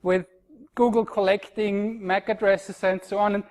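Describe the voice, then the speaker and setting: A man's voice: high-pitched, level tone, a little slow, darkish tone. Wry Austrian man giving a conference talk. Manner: frank, wry, self-deprecating. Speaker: conference speaker.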